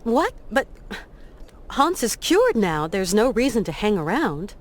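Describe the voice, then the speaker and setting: A woman's voice: mid-range voice, big pitch swings, measured pace. An actress voicing a determined, caring young woman adventurer in a video game, earnest and firm. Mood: firm, defiant.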